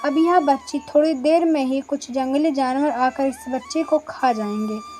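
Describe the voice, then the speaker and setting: A woman voicing a scheming, persuasive male village headman in a moral story, with measured, reassuring speech. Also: high voice, darkish tone, soft background music. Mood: grimly resolved.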